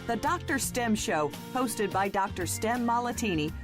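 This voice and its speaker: A woman announcer reads the show's scripted opening with a lively, swinging delivery. Music plays clearly underneath her voice-over. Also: on the high side, moderate pace. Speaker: show announcer. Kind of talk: scripted intro narration.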